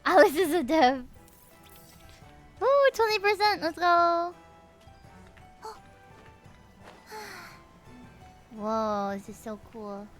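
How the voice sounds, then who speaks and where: A woman's voice: very high voice, sing-song, very slow, pauses often. A woman livestreaming a video game, chatting casually with viewers in an exclamatory, chatty way. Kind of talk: casual chat with viewers, reading game dialogue aloud. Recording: music behind the voice.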